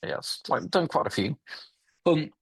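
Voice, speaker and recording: A man hosts a webinar in a relaxed, slightly halting way. His voice is a bit husky, and the recording is dry and close. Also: on the high side, no echo. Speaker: webinar host. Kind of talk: casual webinar chat.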